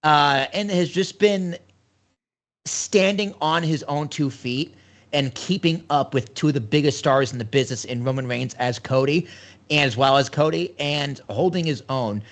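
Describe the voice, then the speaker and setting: A man's voice: on the high side, unhurried. A man co-hosting a podcast, talking loosely and enthusiastically in casual chat. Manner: loose, rambling, enthusiastic fan talk. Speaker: podcast co-host.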